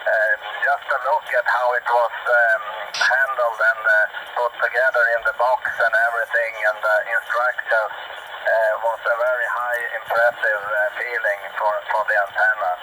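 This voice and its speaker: A man's voice: medium pitch, flat delivery, moderate pace. A man with a Swedish accent speaking English as a second language, chatting hesitantly as a radio operator over two-way radio and repeating his phrases. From Sweden. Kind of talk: casual radio contact. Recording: noisy shortwave CB radio transmission.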